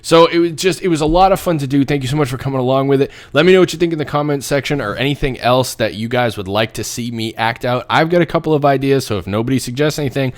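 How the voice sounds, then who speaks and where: A man hosting a video channel, chatting casually to viewers in an animated, excitable way. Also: fast talker, few pauses. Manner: animated, excitable, much higher and louder than his acted voice. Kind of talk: casual vlog sign-off to viewers.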